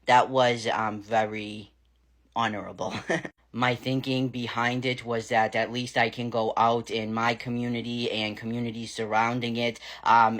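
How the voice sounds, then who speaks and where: Frank, slightly raspy man talking to camera. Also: on the low side, fairly bright tone. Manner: candid, frank, swears casually, many fillers. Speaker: vlogger. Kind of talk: casual personal Q&A vlog.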